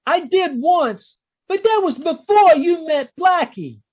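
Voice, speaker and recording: An actor playing a vengeful, taunting crook in slangy 1940s radio style. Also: male voice, very high voice, lively intonation, takes it slowly, a little mellow, exaggerated voice. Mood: accusing, angry. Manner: slangy gangster talk, taunting. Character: menacing, double-crossed male crook, coldly vengeful. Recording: dull, lo-fi video-call audio.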